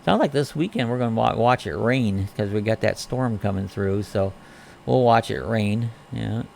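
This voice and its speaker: A man with a hoarse, crackly voice from a respiratory illness, hosting a casual morning live chat, greeting viewers by name and reading their comments aloud in an easygoing, rambling way. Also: fairly low pitch, normal speed, a little mellow.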